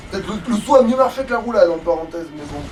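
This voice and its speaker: A man's voice: sits high, expressive, quick pace, recorded from a distance, a little hiss or hum. A man giving casual, sweary and exasperated commentary while he plays a video game. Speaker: let's player playing the game. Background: video game music.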